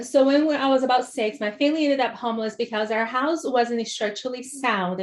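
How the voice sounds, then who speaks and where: A woman from Brazil with a Brazilian accent, a business coach telling her personal story in an interview, earnest and reflective with many "right?" and "um" fillers. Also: a little high. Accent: Brazilian Portuguese. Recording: roomy echo.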